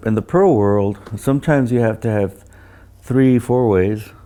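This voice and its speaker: An older man who designs loudspeakers, talking casually about technical matters and often repeating words as he recounts things. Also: lowish voice. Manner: halting, repeats words, anecdotal.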